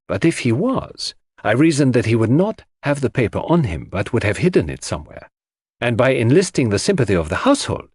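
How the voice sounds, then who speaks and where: A man voicing a clever, confident male detective in an audiobook, explaining his deductions in a deliberate, theatrical way. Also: medium pitch, darkish tone, husky, no room sound, clean studio sound. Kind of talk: audiobook character dialogue.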